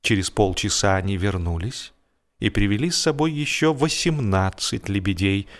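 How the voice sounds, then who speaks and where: A man's voice: lowish voice, lively intonation, normal speed. A man narrating a humorous literary story in a theatrical manner, stretching key words for emphasis. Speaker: audiobook narrator.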